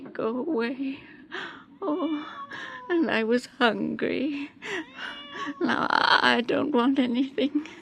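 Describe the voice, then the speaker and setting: A woman's voice: fairly high pitch, gravelly, background noise, exaggerated voice. An actress playing a fretful, stubborn old woman who repeats herself hungrily in a radio drama. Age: older. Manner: repetitive, fretful, slow. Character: hungry, stubborn old blinded woman, guarding her tins.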